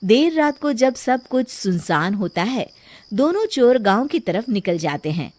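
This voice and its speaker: High-pitched woman narrating a story. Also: big pitch swings, measured pace, a little mellow. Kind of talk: scripted children's story narration.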